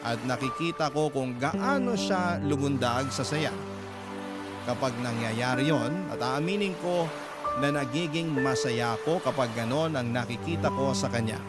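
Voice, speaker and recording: A man narrating a listener's heartfelt letter for a radio drama in an earnest, measured way. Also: mid-range voice, expressive. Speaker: narrator reading a listener's letter and hosting the segment. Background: loud background music.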